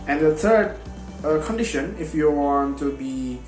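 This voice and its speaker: A young man, a non-native speaker whose first language is Indonesian, giving a class presentation in a halting way with many fillers and broken grammar. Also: on the high side, animated, darkish tone. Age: young adult. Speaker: university student presenting. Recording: echoey room with faint guitar music.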